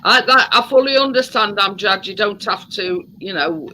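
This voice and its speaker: A man's voice: sits high, normal speed, sharp tone, a little hiss or hum, low-quality recording. A man with a Yorkshire accent, hosting a casual live stream chat, chatty and reassuring, often repeating his words. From England.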